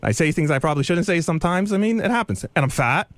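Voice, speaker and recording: Loud nasal man, fast and needling. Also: fairly high pitch, expressive, no echo. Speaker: radio show staffer. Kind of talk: heated on-air argument.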